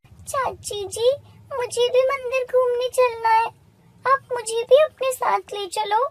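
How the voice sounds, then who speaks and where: Very high, meek little orphan girl. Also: fairly even intonation, takes it slowly. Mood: pleading. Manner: pleading, meek, childlike. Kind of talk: animated moral story dialogue.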